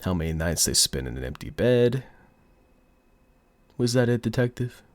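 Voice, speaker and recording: A man playing a cocky, flirty, smug mafia boss in an audio roleplay, with a put-on gangster accent and a teasing, drawn-out seductive delivery. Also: on the low side, sing-song, no room sound. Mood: teasing, probing. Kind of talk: ASMR audio roleplay acting.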